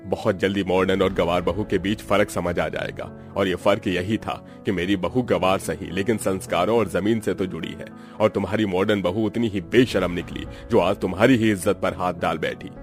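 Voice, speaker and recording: Cartoon role: older family patriarch, played by a man, deep voice, emphatic, moralizing, faint music behind. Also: fairly even intonation, moderate pace, natural voice. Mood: vindicated, reproving. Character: approving, traditional-minded older father-in-law. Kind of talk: Hindi cartoon family drama acting.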